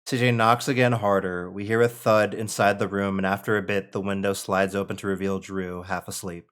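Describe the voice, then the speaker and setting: A man's voice: on the low side, flat delivery, brisk, fairly bright tone, clean tone. A man reading screenplay stage directions as narrator of a script table read.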